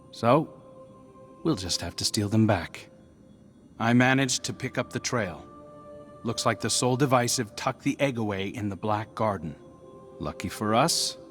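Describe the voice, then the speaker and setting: An actor playing a roguish, friendly scout in a video game, casual, teasing and reassuring. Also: male voice, fairly low pitch, close to an everyday voice. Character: roguish, wry, friendly male scout briefing an ally.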